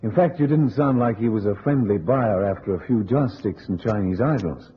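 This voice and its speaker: A man's voice: lowish voice, moderate pace, natural voice. An actor playing a wisecracking, blunt male sleuth in an old radio detective drama, slangy, sardonic and pushy. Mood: sardonic, probing. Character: wisecracking, blunt tough-guy safecracker and sleuth. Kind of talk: golden-age radio detective acting. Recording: dull old radio recording.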